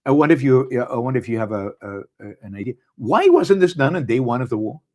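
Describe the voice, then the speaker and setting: A middle-aged man with an Israeli accent, hosting a live show in a casual, halting monologue with many fillers and restarts. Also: mid-range voice, sing-song, dark tone. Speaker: podcast host. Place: abroad, speaking on a live stream.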